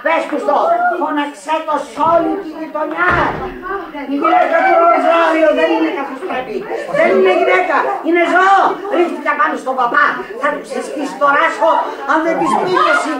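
An actress playing a desperate, passionate Sicilian widow in Greek radio theatre, pleading and defiant. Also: female voice, natural voice. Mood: furious outburst. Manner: pleading, defiant, highly dramatic. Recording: echoey old radio play, crowd noise.

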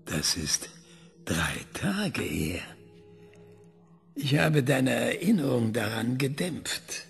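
An actor playing an obsessive older male scientist who questions an AI in a calm, measured, probing tone, in a radio play. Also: mid-range voice, gravelly, slightly rough, big-room sound, faint music behind, heavily acted.

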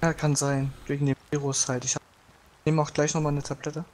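A man's voice: on the high side, flat delivery, a little fast, a little mellow. A man casually chatting while playing a game, explaining each step as he goes. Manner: easygoing, explains game steps. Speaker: let's play co-player. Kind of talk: casual gaming chat.

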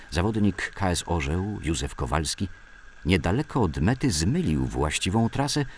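Very deep, gravelly male narrator. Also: a little hiss or hum. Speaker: narrator reading memoirs. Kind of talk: scripted documentary narration of sports-club recollections.

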